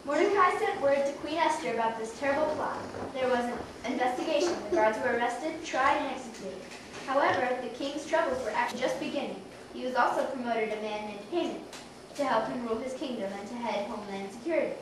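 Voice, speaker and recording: A woman with a high voice narrates a children's story from a script. She sounds distant, in a very echoey room with clear background noise. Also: fast.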